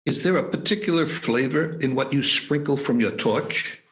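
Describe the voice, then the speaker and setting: A man's voice: mid-range voice, normal speed, natural voice, low-quality recording. A man voicing an old miser who has been humbled by a ghost, speaking in hesitant, stammering lines. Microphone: video call.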